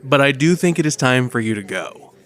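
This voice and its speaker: A man's voice: mid-range voice, animated, fairly quick, a bit bright, lightly acted. A man playing a firm, authoritative superhero in charge in an audio drama, with a blunt manner. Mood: stern.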